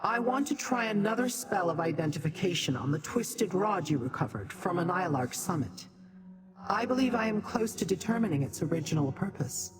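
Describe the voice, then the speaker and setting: An actress playing an imperious, scholarly demon sorceress in a video game, speaking formally and with scorn for mortals. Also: female voice, fairly low pitch, animated, over music, natural voice, low-quality recording. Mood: thoughtful. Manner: formal, measured, haughty delivery.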